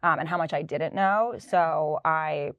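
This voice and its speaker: A woman in her thirties with a low voice tells her work history in a smooth, storytelling way. She sounds relaxed and sure of herself, recorded close in a dry room. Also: measured pace, no room sound, lo-fi sound. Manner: fluent, storytelling, light laughs. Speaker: director of sustainability. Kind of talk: casual interview. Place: office.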